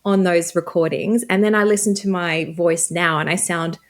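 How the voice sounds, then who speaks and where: A woman in her thirties from Australia with a softened Australian accent, chatting upbeat and laughing easily as an interview guest. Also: lowish voice, fairly even intonation, moderate pace. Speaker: guest, tech creator. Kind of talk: casual interview chat.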